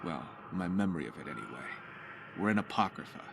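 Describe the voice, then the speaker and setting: A man's voice: low-pitched, animated, fast, vocal fry, pitched below the performer's own voice. A man voicing a brooding, reflective pirate from a video game. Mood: somber, reflective. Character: brooding adult male pirate revisiting his childhood memories. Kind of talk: video game character acting. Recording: very noisy, ambient sound behind.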